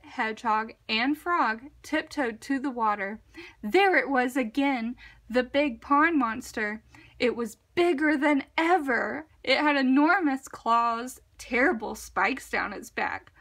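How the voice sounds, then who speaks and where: High, bright-voiced woman reading slowly. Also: expressive. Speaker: storybook reader. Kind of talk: expressive children's picture book narration.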